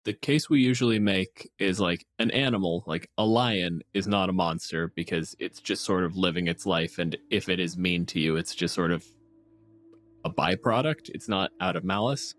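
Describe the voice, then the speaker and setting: A man's voice: deep voice, normal speed, a bit bright. A man hosting a casual panel discussion, talking in an explanatory, analytical way. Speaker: podcast host.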